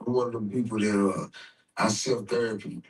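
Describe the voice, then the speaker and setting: An American man with a slight cold, chatting reflectively in a halting, repetitive, slangy way. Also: lowish voice, fairly even intonation, distant mic, noisy background. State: sniffly with a cold. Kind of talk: casual reflective chat.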